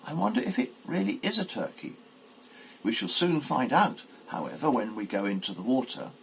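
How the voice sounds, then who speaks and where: Fussy storybook mother duck, acted by a man, proud, bossy, exclaiming, swooping pitch, faint noise behind. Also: very animated, normal speed, vocal fry. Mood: puzzled, firm. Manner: exclaiming, instructive.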